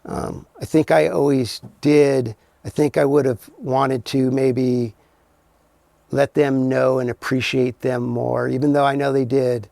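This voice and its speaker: Gravelly middle-aged man, dry close sound. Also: medium pitch, flat delivery, a little slow, a little mellow, no echo. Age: 40s or older. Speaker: podcast guest, cannabis farmer. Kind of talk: casual chat.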